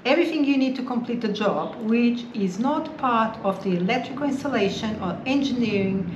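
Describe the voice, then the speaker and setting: A woman's voice: a little high, moderate pace, muffled recording. Woman listing costs, echoey room. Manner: steady, lists items plainly. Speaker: boat-building vlogger. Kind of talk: casual chat to camera. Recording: echoey room with faint noise.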